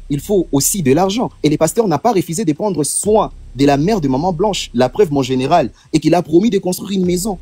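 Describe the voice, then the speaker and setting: A man's voice: on the high side, dark tone, husky, dry recording. A man with a Congolese French accent, arguing insistently in a live debate and piling up rhetorical questions. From eastern DR Congo. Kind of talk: impassioned argument on a live stream.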